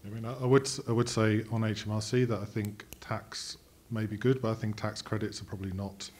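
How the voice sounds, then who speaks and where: Flat, low-pitched man. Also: fairly quick. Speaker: audience questioner. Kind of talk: question and comment from the audience. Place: conference auditorium seating, indoors. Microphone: throwable box microphone.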